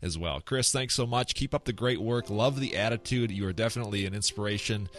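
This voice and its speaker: A man's voice: lowish voice, a little fast, soft background music. A man with a Minnesota accent hosting an interview podcast, friendly and affirming, with frequent fillers. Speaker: podcast host.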